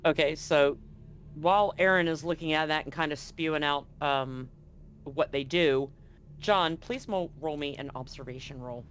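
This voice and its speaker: A man acting as game master, narrating a tabletop roleplaying game in a deliberate, halting way. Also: on the high side, a bit bright, slight background noise.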